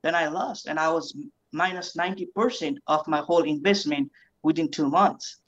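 A man's voice: on the high side, moderate pace, a bit bright. A man from Nepal telling his story as a podcast guest, talking in a casual, rambling way and often repeating words. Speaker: guest investor. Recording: muffled lo-fi audio.

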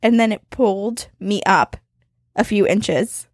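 A woman's voice: medium pitch, unhurried, no echo. Woman podcast host, dry close sound. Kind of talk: casual paranormal podcast chat.

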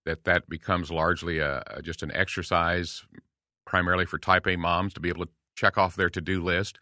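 A man's voice: low voice, flat delivery, fairly quick, sharp tone, dry recording, studio quality. An American man in his sixties talking conversationally as an interview guest, explaining things in a folksy way. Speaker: podcast guest, veteran homeschool dad and speaker.

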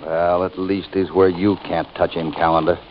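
Guy acting a tough steady cowboy hero stuck on a prison gang, really low voice, muffled old radio western. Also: moderate pace, lightly acted. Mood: defiant. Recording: dull old radio recording, faint noise.

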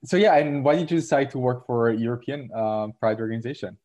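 A man's voice: mid-range voice, fast, dark tone. A young man hosting a podcast, asking friendly questions in a casual way. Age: young adult. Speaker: podcast host. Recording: dull sound with faint guitar music.

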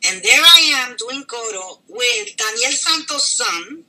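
An older woman, a singer, telling a story in an interview with lively, dramatic delivery and acted-out quotes. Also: a little high, a little slow. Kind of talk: storytelling in a conversation.

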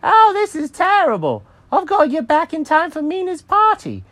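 A boastful little tomcat from a storybook, put on by a storyteller, pitched very high and squeaky. He is dramatic and easily indignant, with pitch that leaps about as he talks. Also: wildly swooping pitch, normal speed, fairly bright tone, dry recording, heavily acted. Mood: indignant, distressed. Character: excitable, self-important talking cat, male.

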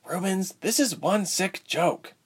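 A man's voice: high-pitched, animated, slow pace, breathy edge, pitched above the performer's own voice, heavily acted. A man voicing a tough, guarded young woman held hostage in an audiobook story. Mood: perturbed.

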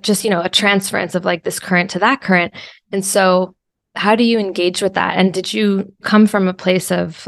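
A woman's voice: medium pitch, no room sound. A woman hosting a podcast, asking long, thoughtful questions in a searching way and using many fillers. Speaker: podcast host. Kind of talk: reflective interview conversation.

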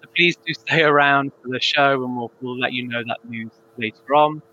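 A man's voice: mid-range voice, fairly even intonation, moderate pace, sharp tone, dull audio, a little hiss or hum. A man hosting a live panel show, talking in a friendly, chatty way with frequent ums. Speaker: host.

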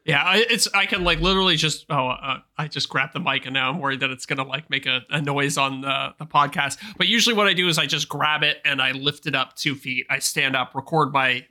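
Bright-toned man co-hosting a podcast. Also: a little high, fairly quick, hardly any pauses. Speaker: podcast co-host. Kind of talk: casual podcast chat. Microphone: voice mic on a boom arm.

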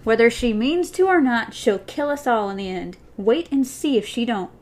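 Rich: A sullen teenage gunslinger, speaking grimly with a dry, sardonic bite, as a woman reads him aloud. His voice sits fairly high. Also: animated, moderate pace, darkish tone, close to an everyday voice. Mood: bitter.

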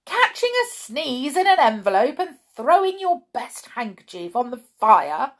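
A woman's voice: fairly low pitch, sing-song, brisk, darkish tone, breathy edge. A woman doing a snappy scolding housewife.

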